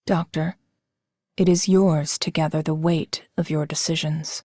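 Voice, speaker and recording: A woman voicing a cool, detached huntress leader in a game, with measured, clinical, formal speech. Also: low voice, little pitch movement, mellow, a little hiss or hum. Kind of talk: scripted game voice acting.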